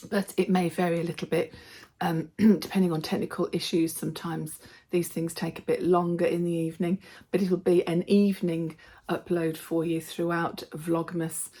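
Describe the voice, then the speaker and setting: A woman vlogger with a slightly hoarse voice from a cold, chatting casually and cheerfully to her viewers. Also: fairly low pitch, little pitch movement, moderate pace, dark tone. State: slightly hoarse, losing her voice from a throat infection. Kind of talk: casual vlog chat to viewers.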